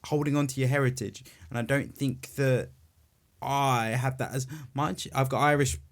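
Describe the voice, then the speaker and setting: A man's voice: mid-range voice, steady tone, moderate pace. Male podcast host from England; loose, rambling, self-deprecating interviewer. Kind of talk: casual interview chat.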